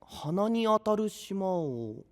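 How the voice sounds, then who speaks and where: A man playing a cheerful, easygoing young guide to sea legends in an anime-style audio drama, explaining in a breezy, drawn-out way. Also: sits high, very animated, full character voice. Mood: animated, explaining.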